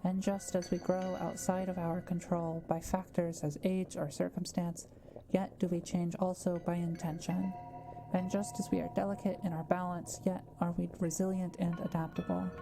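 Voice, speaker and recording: A woman playing a kind, gentle witch in an intimate roleplay audio drama, speaking softly and carefully to the listener. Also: on the low side, flat delivery, normal speed, vocal fry, music in the background. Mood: solemn incantation. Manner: soft-spoken, reassuring, careful explaining.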